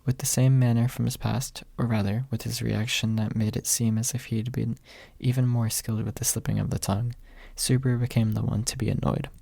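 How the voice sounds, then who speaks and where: A man reading a story softly as scripted ASMR narration, in a hushed, measured way with a husky, breathy voice. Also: mid-range voice, level tone, no echo. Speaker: audiobook reader.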